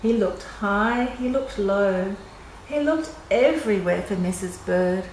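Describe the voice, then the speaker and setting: A woman's voice: mid-range voice, animated, a little slow. An older woman reading a picture book aloud to children, expressively, with simple asides for young listeners. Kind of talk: children's story read-aloud. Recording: roomy echo with clear background noise.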